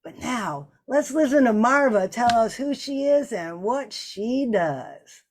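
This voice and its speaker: A woman's voice: on the high side, expressive, takes it slowly, a little mellow. A woman hosting a casual talk show, introducing and questioning her guest. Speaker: host. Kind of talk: casual interview chat.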